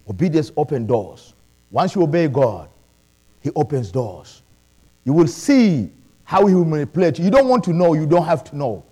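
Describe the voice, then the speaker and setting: A middle-aged man who learned English later, preaching a sermon with animated calls of praise. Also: sits high, breathy edge. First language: not English. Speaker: pastor.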